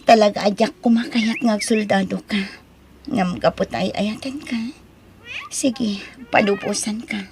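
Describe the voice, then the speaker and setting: A woman's voice: medium pitch, a little slow, vocal fry, darkish tone, slight background noise. A woman with an Ilocano accent playing an affectionate young woman in love in an audio drama. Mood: worried, pleading. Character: affectionate young woman missing her cadet boyfriend. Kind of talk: Ilocano radio-style audio drama acting.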